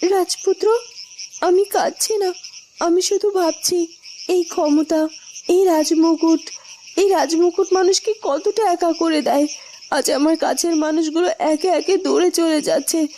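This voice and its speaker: A woman's voice: very high-pitched, steady tone, measured pace, dark tone, slight background noise, voice pushed up. A woman voicing a lonely, resolute young royal heroine in a fairytale cartoon. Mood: sorrowful.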